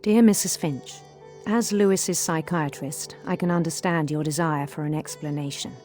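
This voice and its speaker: A woman playing a formal psychiatrist who narrates a condolence letter in a measured, deliberate way for a game. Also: sits low, darkish tone, close to an everyday voice. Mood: measured, sympathetic. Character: measured psychiatrist writing to a grieving mother. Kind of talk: scripted game narration, letter read aloud. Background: music.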